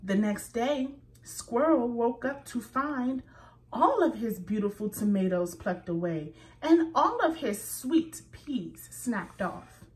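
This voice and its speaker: Slow, expressive woman reading a kids' story. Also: fairly high pitch, distant mic, poor audio. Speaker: children's story reader. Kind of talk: reading a picture book aloud to children.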